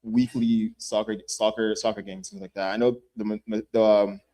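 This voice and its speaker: A man's voice: fairly low pitch, level tone, moderate pace, warm tone. A young man speaking on a youth panel in a casual way, often tagging his points with "right?". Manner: casual, says "right?" a lot. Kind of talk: panel discussion.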